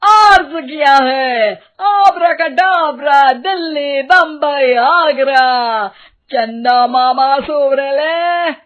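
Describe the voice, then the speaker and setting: A woman puts on a pompous tantric man from a comic ghost story. He chants in a high, bombastic voice, stretching words slowly and theatrically. The recording sounds thin, like a phone line. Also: a bit bright, exaggerated voice. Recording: tinny phone-like narrow band.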